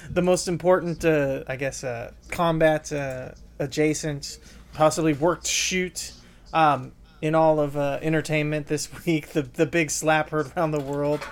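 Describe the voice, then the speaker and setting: A man's voice: on the high side, steady tone, unhurried. A man hosting a podcast in a loose, casual chatting style. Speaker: podcast host. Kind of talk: casual chat.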